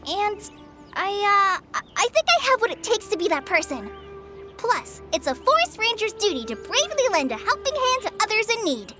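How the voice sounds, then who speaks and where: A woman's voice: extremely high pitch, sing-song, normal speed, music in the background. An actress playing a shy, earnest young woman forest ranger who hesitates and stammers, in a game. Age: young adult. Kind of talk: game voice acting.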